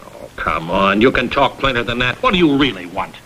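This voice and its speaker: An actor playing a proud, blunt, self-made father in a vintage crime drama, wary and curt with a skeptical, forceful manner. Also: medium pitch, big pitch swings, brisk, lightly acted. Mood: suspicious, impatient. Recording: vintage TV soundtrack, faint noise.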